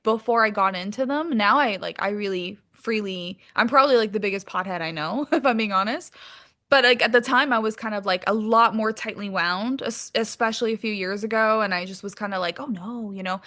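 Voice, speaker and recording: A woman's voice: mid-range voice, brisk, fairly bright tone. A woman chatting casually as an interview guest, candid and very informal in her wording. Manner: candid, informal, peppered with "like", mild swearing. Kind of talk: casual chat.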